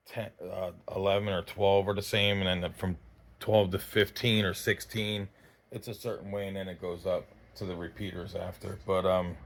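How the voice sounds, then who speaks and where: A man giving a casual, unscripted gear review in a halting, rambling way, often backtracking mid-thought. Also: deep voice, fairly bright tone. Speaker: host of a radio gear review channel.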